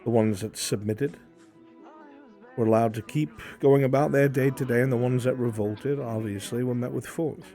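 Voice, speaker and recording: A man plays a measured imperial military man in a fantasy roleplay. He is formal, courteous and rhetorical, pressing his points firmly in a medium-pitched voice. Music plays faintly underneath. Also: fairly even intonation, mellow, natural voice. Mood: grave, pointed. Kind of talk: live fantasy roleplay acting. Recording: music behind the voice.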